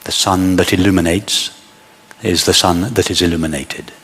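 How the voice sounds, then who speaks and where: A man leading a guided meditation as a teacher, speaking in measured short phrases with long pauses. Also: deep voice, fairly even intonation, fairly bright tone, breathy edge, long reverb tail.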